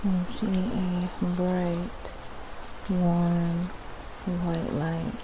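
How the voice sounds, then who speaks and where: A woman's voice: on the low side, flat delivery, drawn-out words, noisy background. A woman hosting ASMR as a spiritual cleansing guide, speaking in a soothing, instructive way and often checking in with the listener. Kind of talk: ASMR personal-attention roleplay.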